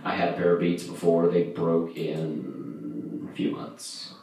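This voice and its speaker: Slow, echoey young man doing amateur stand-up. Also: sits low, creaky, dark tone. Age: young adult. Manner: halting, rambling, self-deprecating; profane, vulgar. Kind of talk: casual stand-up routine. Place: indoors, small home room. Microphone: distant camera, handheld microphone in view. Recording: echoey room, far from camera.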